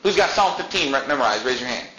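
A man's voice: mid-range voice, expressive, pushed and bright. A man in his twenties telling a personal story to a live audience in a chatty, self-deprecating way. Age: 20s. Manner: chatty and self-deprecating, with frequent "I mean" and quick laughs. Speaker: speaker addressing a congregation. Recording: echoey room, faint background noise.

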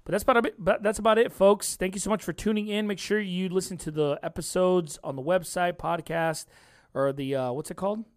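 A man's voice: high-pitched, fairly even intonation, a little fast, dry recording, clean studio sound. Laid-back man in his thirties hosting. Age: 30s. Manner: laid-back, rambling, laughs often. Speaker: podcast host. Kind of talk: casual chat.

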